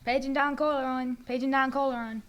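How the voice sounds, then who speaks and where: A young woman acting in a comic student radio play as a dry, sardonic, needling woman with a deadpan delivery. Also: high-pitched, moderate pace, natural voice. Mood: mocking. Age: young adult. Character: dry, needling woman, mobster's wife and therapist. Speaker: actor in a student audio play.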